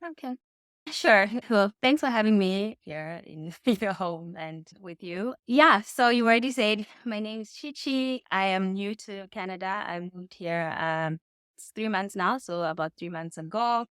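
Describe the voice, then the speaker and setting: Clear-voiced Zambian woman in a dry room. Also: medium pitch, unhurried, fairly bright tone, no room sound. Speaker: interview guest. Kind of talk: casual reflective interview answers. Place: indoors in a home.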